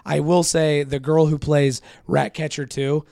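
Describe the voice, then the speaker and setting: A hoarse man co-hosting a podcast, chatting casually and eagerly with lots of fillers. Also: a little high, level tone, measured pace, mellow, no room sound, clean studio sound. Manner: enthusiastic, many fillers. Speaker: podcast co-host. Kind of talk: casual chat.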